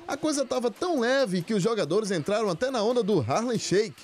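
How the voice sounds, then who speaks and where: A man narrating a sports news report in a lively, scripted style. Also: high-pitched, brisk.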